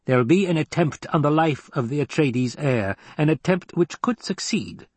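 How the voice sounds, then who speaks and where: A male narrator puts on a clever, scheming adviser in an audiobook, pitched well above his own voice. The delivery is formal, exact and supercilious, in a clean, dry recording. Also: expressive, moderate pace, husky, dry recording. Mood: sly, explanatory. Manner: precise, formal, higher-pitched exposition. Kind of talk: audiobook character acting.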